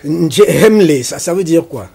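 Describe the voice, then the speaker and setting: A man's husky voice, set a little high for a man, hosting a television talk show. He speaks emphatically, leaning on key words and stretching them out. His tone is rhetorical, as if he were opening a debate. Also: expressive, mellow. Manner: emphatic, rhetorical, slows down to stress points. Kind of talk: hosting a studio interview. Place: TV studio.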